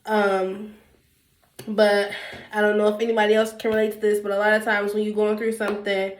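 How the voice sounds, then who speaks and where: A woman's voice: mid-range voice, completely flat delivery, brisk. A young woman with a stuffy nose from a cold, talking candidly to her viewers in a rambling, casual way with lots of ums and restarts. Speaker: YouTuber. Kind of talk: casual sit-down talk to camera. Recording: echoey room.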